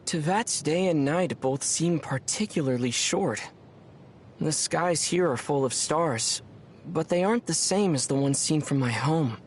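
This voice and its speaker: A man's voice: a little high, measured pace, slight background noise, natural voice. A man voicing a young, curious, mild traveler from another world in a video game, musing and understated, with music behind. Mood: thoughtful, curious. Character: young male otherworldly traveler, curious, mild, dryly puzzled. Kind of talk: video game character dialogue.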